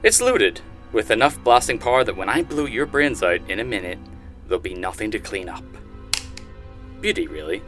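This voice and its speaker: Sadistic hired gun, played by a man: low, forceful, gleefully taunting, theatrical audio-drama villain. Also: very animated, faint music behind. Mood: menacing. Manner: theatrical, drawn-out threatening delivery.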